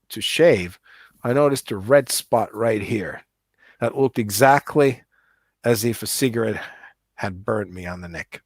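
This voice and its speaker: A man's voice: lowish voice, no echo, studio quality. A middle-aged man from the United States, a musician talking about his work in a casual interview, rambling with many restarts. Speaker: musician guest.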